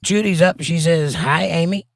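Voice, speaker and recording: A slightly hoarse, scratchy-voiced man hosts a laid-back livestream, reading chat messages aloud and riffing on them with goofy asides. His voice is dry and close, with no room around it. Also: on the high side, fairly even intonation, normal speed, no room sound. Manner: jokey, reads viewer comments aloud, breaks into silly bits.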